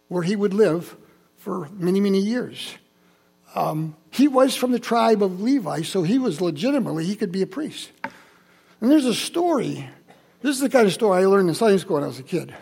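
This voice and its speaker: An older man in his seventies with a high, gravelly, husky voice, speaking to a congregation in a church. He tells Bible stories and memories in a relaxed, chatty way, turning to the listeners with quick asides. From Holland, Michigan. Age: 70s. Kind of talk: personal sermon storytelling.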